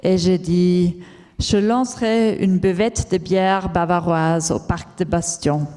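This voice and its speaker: A woman speaks in a language that is not her first, choosing her words slowly and stopping to restart. Her voice is low-pitched and mellow. The sound carries the long reverb of a large lecture hall. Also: steady tone. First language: not French. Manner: careful, halting, with many fillers and restarts. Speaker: entrepreneur giving a conference talk. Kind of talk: personal story told as a talk.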